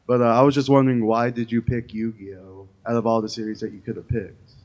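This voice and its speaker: A man's voice: mid-range voice, little pitch movement, a little fast, a little mellow, muffled recording. A man in the audience asking a question casually and hesitantly, with lots of fillers. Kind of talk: casual chat.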